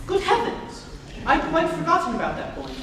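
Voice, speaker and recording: An earnest, excitable young Victorian gentleman acted out by a man speaks theatrically with wide swings of pitch. The stage comedy reading rings around a reverberant hall, with clear background noise. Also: moderate pace, exaggerated voice. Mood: anxious. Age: young adult. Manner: theatrical, exclamatory. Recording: echoey hall from far off, noisy.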